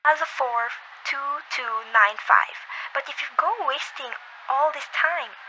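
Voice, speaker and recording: A woman's voice: high voice, slow, sharp tone, a little hiss or hum, full character voice, poor audio. A young woman in an amateur radio play acting an imperious, fretful married woman who is demanding and indignant. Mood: urgent, anxious. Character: imperious, agitated, self-important married woman. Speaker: amateur voice actor.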